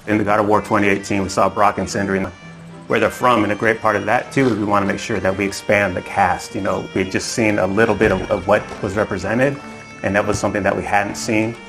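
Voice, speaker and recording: A man's voice: on the low side, flat delivery, fast talker, music in the background. A man speaking as a lead concept artist in a documentary-style interview.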